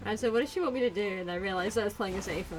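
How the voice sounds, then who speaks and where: A woman's voice: mid-range voice, very fast. Goofy woman streamer over music. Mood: playful. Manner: goofy, joking, sings snippets. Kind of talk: casual let's play commentary.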